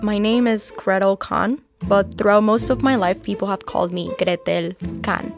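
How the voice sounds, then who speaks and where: A woman's voice: mid-range voice, moderate pace, vocal fry, over music. A woman from Panama, a native Spanish speaker with a slight accent, narrating a personal story as a journalist.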